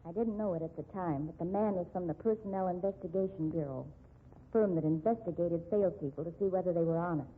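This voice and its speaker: A woman's voice: lowish voice, fast, slight background noise, close to an everyday voice. An actress playing an honest young female shop clerk, wrongly accused and indignant, in 1950s radio drama style. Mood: somber recounting. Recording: muffled, dull 1950s radio recording.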